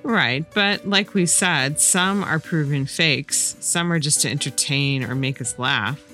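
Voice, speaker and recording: A woman's voice: deep voice, a little slow, sharp tone. A woman co-hosting a podcast in casual, conversational chat. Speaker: podcast co-host. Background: background music.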